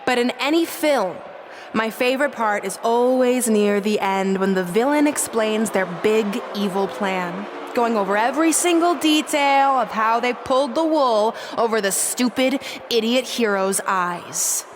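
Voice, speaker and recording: Casting: spiteful, smug female wrestling heel for a video game, high voice, condescending drawn-out delivery, noisy ambience behind. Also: a bit bright. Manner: boastful, condescending, drawn-out emphasis. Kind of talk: theatrical wrestling promo monologue.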